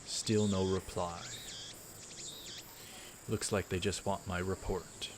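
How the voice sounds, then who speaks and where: Man reading as grave, low-voiced young conspirator. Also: little pitch movement, takes it slowly, background noise. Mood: conspiratorial. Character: secretive, self-dramatizing young male would-be agent.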